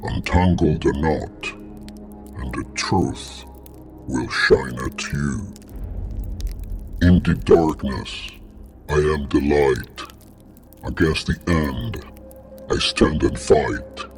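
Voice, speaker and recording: An ancient necromancer intones in an extremely deep voice, slow and solemn. The delivery is gravelly and portentous, in formal archaic phrasing, as audio drama acting over clear background music. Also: male voice, heavily acted. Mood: mystical guidance. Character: solemn ancient male necromancer gatekeeper of a deathless realm.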